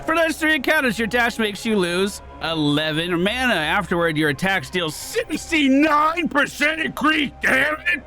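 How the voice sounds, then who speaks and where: Lively, excitable man commentating over game music. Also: very high voice, unhurried, few pauses. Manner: excitable, profane exclamations. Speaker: gaming video host. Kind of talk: casual gameplay commentary. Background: video game music.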